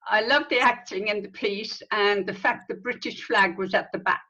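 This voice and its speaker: A woman's voice: mid-range voice, fairly even intonation, normal speed, sharp tone, muffled recording. A woman giving casual feedback over a video call. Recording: slightly noisy video call.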